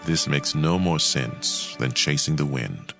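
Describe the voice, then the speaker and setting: A man narrating scripture as a dramatized audiobook, in a measured, expressive and emphatic delivery. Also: very deep voice, creaky, faint music behind.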